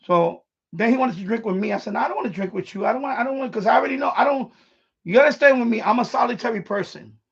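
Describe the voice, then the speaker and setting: High-pitched husky New man. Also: quick pace. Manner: blunt, profane, repeats himself, animated. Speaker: live stream host. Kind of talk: casual rambling storytelling on a live stream. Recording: dull, lo-fi livestream audio.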